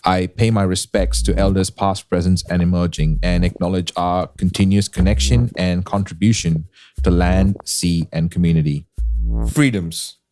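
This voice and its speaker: A man's voice: low voice, fairly even intonation, moderate pace, a little mellow, soft background music. An Australian man hosting a podcast, speaking earnestly and asking the listener pointed questions. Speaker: podcast host. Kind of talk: scripted podcast narration.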